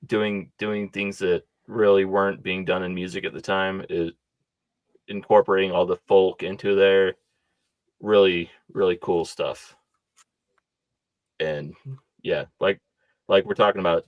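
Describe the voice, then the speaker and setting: Low-voiced man, unhurried, hesitant podcast talk with a dry, close recording. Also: no echo. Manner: laid-back, hesitant, with many ums. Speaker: podcast guest. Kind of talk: casual podcast chat.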